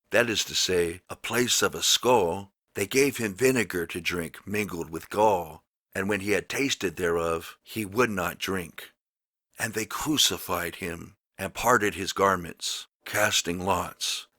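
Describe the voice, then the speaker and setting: A man with a fairly low, forceful voice reads old scripture aloud. His delivery is solemn and emphatic, each phrase placed deliberately. The sound is bright and dry, close and free of room. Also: creaky, no room sound. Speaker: Bible narrator. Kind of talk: dramatic scripture read-aloud of the King James Bible.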